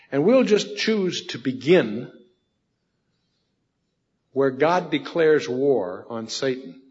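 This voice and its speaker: A man giving an evening Bible lecture, asking his own questions aloud and then answering them, slowing down to list references. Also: mid-range voice. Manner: lists references at a slow measured pace. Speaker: Bible teacher. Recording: dull long-reverb hall recording.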